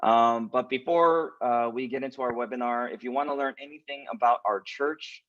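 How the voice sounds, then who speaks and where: A pastor hosting a church webinar over a video call, talking casually with lots of fillers and hesitations. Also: male voice, mid-range voice, normal speed, no echo. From United States. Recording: dry, dull lo-fi video call audio.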